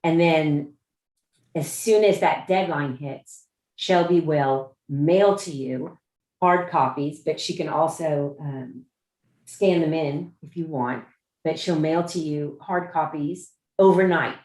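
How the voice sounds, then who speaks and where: A woman chairing a meeting on a video call, informally explaining procedure in a halting way, often rephrasing her points. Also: sits low, darkish tone. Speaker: chair of a judicial nominating commission meeting. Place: indoor room on a Zoom conference call. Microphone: video call microphone. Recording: roomy, echoing video-call audio.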